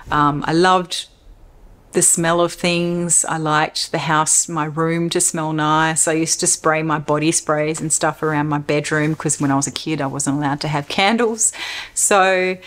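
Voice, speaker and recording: A woman with an Australian accent and a low, deep speaking voice chats at length to camera. She rambles in a relaxed way, often restarting her sentences mid-thought. She sounds like a middle-aged vlogger talking from home. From Western Australia. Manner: rambling, chatty, self-interrupting with restarts. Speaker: perfume YouTuber. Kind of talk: casual Q&A vlog talk.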